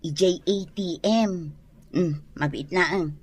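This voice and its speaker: A woman with an Ilocano Filipino accent playing a bossy, caring adult elder daughter in a radio drama, giving brisk instructions. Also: on the low side, breathy edge. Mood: bossy, instructing.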